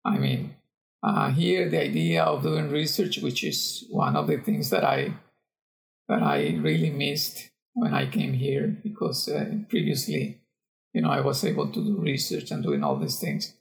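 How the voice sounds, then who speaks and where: An older man with a Costa Rican accent answers interview questions conversationally, pausing and searching for words. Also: mid-range voice, wildly swooping pitch, creaky, poor audio. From Costa Rica. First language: Spanish. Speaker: geography professor, podcast guest.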